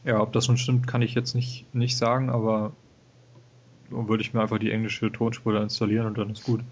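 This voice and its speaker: Husky low-voiced German man chatting. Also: a little fast. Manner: relaxed, hesitant, many fillers and laughs. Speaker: gaming podcast co-host. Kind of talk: casual game review chat. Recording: dull lo-fi home recording.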